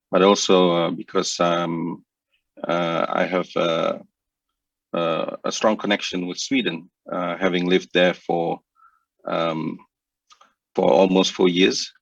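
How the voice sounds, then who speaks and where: A man's voice: deep voice, gravelly, fairly bright tone, dry recording. A man from Indonesia joining a panel remotely, introducing himself hesitantly with many fillers. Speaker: panel guest. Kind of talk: introductory remarks. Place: remote office. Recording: dull dry remote audio.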